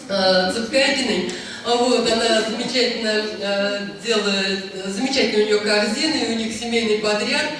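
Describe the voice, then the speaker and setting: Chatty woman in an echoey hall. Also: fairly high pitch. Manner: chatty, rambling, frequent hesitations. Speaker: speaker at a book presentation. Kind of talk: anecdotal storytelling talk. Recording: echoey hall, voice from far off, faint noise.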